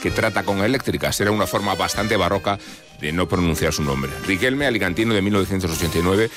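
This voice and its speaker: A low-voiced man reads a scripted radio column at a quick clip. His delivery is literary and ironic, with light music underneath. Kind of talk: scripted opinion column read aloud. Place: radio studio.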